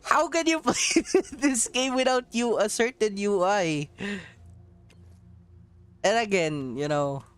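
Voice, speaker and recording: A man's voice: high voice, slow, pauses often, fairly bright tone, clean tone. A man from Southeast Asia giving rambling, casual gameplay commentary, laughing often and swearing offhandedly. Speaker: mobile game streamer.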